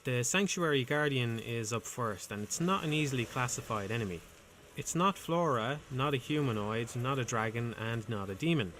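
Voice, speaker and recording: Male voice-over commentator: soothing, calm, measured, dry wit, mid pitch, faint background hiss. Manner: calm, measured, dryly wry. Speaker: game commentator.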